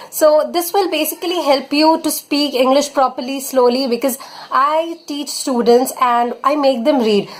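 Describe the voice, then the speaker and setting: A young Indian woman with an Indian accent, an English tutor giving earnest spoken tips in a vlog lesson. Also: high-pitched. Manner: earnest, advising, leans on fillers like "basically" and "honestly".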